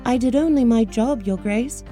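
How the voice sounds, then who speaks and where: A man voicing a timid, earnest young chambermaid in a soft, meek voice for a fairy-tale audiobook. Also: extremely high pitch, normal speed, faint music behind, voice pushed up.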